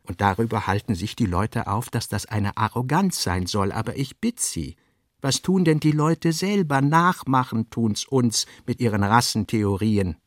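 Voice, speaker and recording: A man's voice: mid-range voice, expressive, measured pace, no echo, studio quality. A man giving voice to an indignant, genteel old aristocratic count, with a grumbling, Viennese-tinged colloquial manner.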